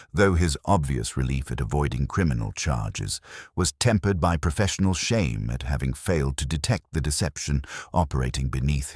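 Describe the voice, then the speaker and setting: Very deep-voiced male mystery narrator. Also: no room sound, clean studio sound. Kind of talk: scripted audiobook narration.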